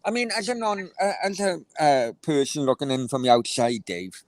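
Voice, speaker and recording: A man's voice: fairly high pitch, very animated, normal speed, no echo, clean studio sound. A man with a South Wales accent hosting a casual football chat, rambling with many restarts. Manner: rambling, stumbling restarts, laughs easily. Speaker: host.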